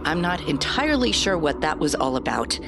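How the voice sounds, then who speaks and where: An animated, lowish-voiced aide. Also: female voice, fairly quick, loud music behind the voice. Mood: agreeable. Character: loyal, eager female campaign aide. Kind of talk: audio drama acting.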